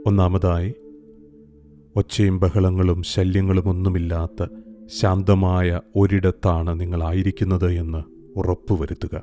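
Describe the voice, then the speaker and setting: A man narrating a scripted guided meditation in a calm, soothing, unhurried way with long pauses. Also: sits low. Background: soft meditation music with singing bowls.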